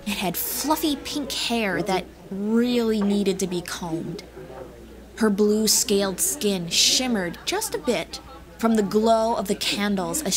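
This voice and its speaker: A woman reads a fantasy story as its narrator, in a mid-range voice. Music plays clearly behind her scripted narration. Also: medium pitch.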